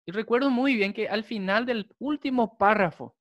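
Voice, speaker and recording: A young adult man, a Guarani speaker, preaching a sermon, with slow, emphatic repetition. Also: sits high, dry recording, muffled recording. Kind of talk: sermon with prayer.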